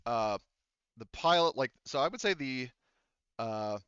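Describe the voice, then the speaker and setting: A man acting as game master of a tabletop game, explaining rules casually in a halting, step-by-step way. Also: mid-range voice, lively intonation, a bit bright, clean tone, no echo, highs cut off.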